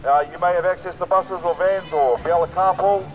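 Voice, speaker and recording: A hoarse man speaking at an outdoor protest rally through a megaphone, rousing and repetitive. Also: high-pitched, fairly even intonation, fairly quick, soft background music. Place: outdoors on a city street.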